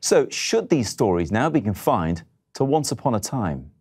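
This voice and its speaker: British newsman with a lowish voice. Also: big pitch swings, moderate pace. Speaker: news presenter and interviewer. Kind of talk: broadcast news presenting. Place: TV studio.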